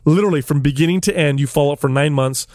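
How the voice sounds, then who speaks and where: A man co-hosting a podcast, loud, profane and joking in casual banter. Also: fairly high pitch, very fast, no echo, clean studio sound. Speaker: podcast co-host.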